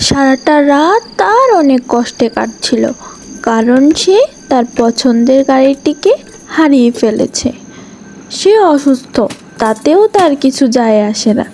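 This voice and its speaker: A woman's voice: high-pitched, lively intonation, unhurried, a little mellow, a little hiss or hum, low-quality recording. A woman reading scripted narration for a cartoon story as its storyteller.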